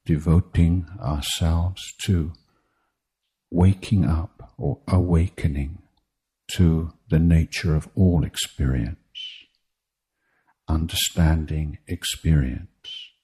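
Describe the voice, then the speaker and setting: A man teaching meditation in a guided talk, speaking in measured short phrases with deliberate pauses and a husky voice. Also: extremely low pitch, steady tone, dark tone. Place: meditation retreat.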